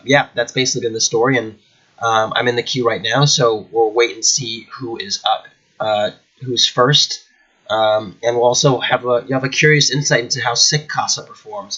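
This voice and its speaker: A man's voice: mid-range voice, sharp tone, lo-fi sound. A man with a cold, a little nasal and congested, chatting casually at home as host of his chess channel, rambling with many fillers. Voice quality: slightly nasal. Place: at home indoors.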